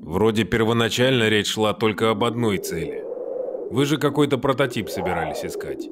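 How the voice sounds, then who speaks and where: A man voicing a gruff, sceptical soldier with a sardonic, questioning manner in an animated web series. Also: on the low side, moderate pace, heavy background noise, natural voice.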